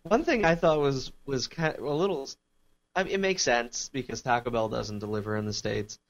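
Gravelly man with dry quips. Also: mid-range voice, fairly quick. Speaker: podcast co-host. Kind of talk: casual tech podcast chat. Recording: dull lo-fi sound.

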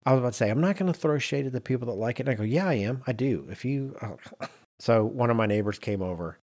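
A man co-hosting a podcast in casual banter, telling anecdotes in an animated way and acting out quotes. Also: mid-range voice, fast talker. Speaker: podcast co-host.

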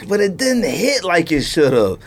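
A man's voice: sits high, big pitch swings, normal speed, vocal fry. An American man hosting a podcast, chatting casually and emphatically in loose slang. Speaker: podcast host. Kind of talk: casual chat about sneakers.

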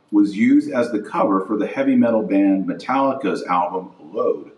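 Low-voiced indignant man in an echoey room. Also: darkish tone. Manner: indignant, emphatic, rhetorical repetition and questions. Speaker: podcast host and religious commentator. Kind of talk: impassioned opinion monologue to camera. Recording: echoey room, slightly distant mic.

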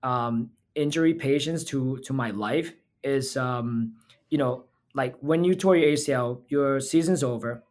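A man's voice: medium pitch, unhurried, darkish tone. A man from Thailand, a physical therapist talking as a podcast guest in an earnest, reflective way. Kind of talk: casual interview talk.

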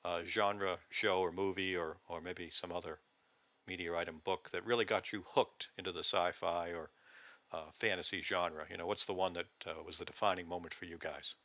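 Very bright, low-pitched man. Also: steady tone, normal speed, dry recording. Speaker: podcaster leaving listener feedback. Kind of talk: casual recorded message.

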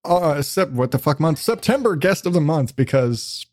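Male podcast host, on the higher side, very dry recording, loose conversational delivery with casual profanity. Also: animated, normal speed, darkish tone, no room sound. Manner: rambling, casually sweary.

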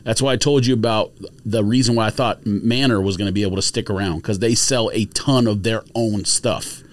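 A man's voice: lowish voice, fairly quick, no echo. A man co-hosting a podcast, talking casually and arguing his points at length. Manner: animated, argumentative, explains at length. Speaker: podcast co-host.

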